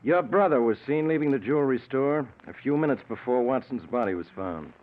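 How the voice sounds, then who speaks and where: An actor playing a businesslike homicide inspector with a formal, pointed manner in 1940s radio drama style. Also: male voice, medium pitch, animated, fairly quick, close to an everyday voice. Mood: firm, probing. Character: businesslike, insistent male homicide police inspector. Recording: noisy, dull 1940s radio recording.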